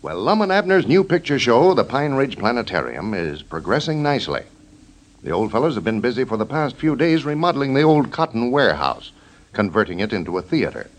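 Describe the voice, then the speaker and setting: A man announces in classic 1930s American radio style. His pitch is mid-range but swings very widely, and he reads his script formally, with strong emphasis. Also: big pitch swings, brisk. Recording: 1930s radio broadcast recording.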